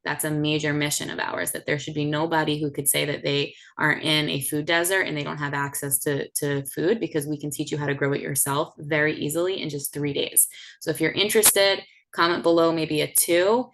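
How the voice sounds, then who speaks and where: A woman's voice: deep voice, steady tone, a little fast, a bit bright. A woman in her thirties hosting a class on a video call, chatty and full of fillers. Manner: chatty, with many fillers and restarts. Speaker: host of the class. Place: home setting indoors.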